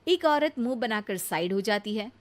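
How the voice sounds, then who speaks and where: A woman's voice: a little high, animated, moderate pace. A woman narrating a scripted moral story for children as its storyteller.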